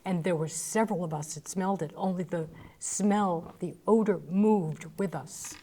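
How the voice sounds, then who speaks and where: A woman's voice: on the low side, moderate pace, dark tone. A woman hosting a radio talk show, chatty and eager, telling anecdotes and asking her guest questions. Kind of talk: casual interview chat.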